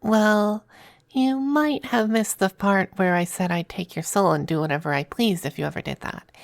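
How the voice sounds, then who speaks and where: A performer acting a smug, affectionate demon femboy in an intimate ASMR roleplay, teasing and coy, with breathy turns into whispers. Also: medium pitch, no room sound, close to an everyday voice.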